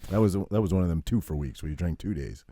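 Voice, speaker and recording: A man with a very low voice co-hosts a podcast. He keeps chiming in with agreement and swears casually. The recording is dry and close. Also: expressive, fast, warm tone, dry recording. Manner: agreeable, interjects often, profane. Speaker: podcast co-host. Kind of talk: casual chat.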